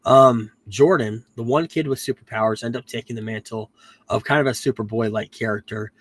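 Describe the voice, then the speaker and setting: Guy with a cold rambling thru a tv recap, um's everywhere, keeps correcting himself, mid pitch. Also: a little fast, no room sound. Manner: rambling, self-correcting, frequent "um"s. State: getting over a cold, losing his voice. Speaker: podcast host reviewing a TV series. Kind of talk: casual recap chat.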